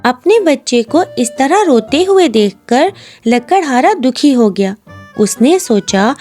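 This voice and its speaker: A woman's voice: high voice, very animated, slow, a little mellow. A woman reading scripted storybook narration as the narrator, with music behind her.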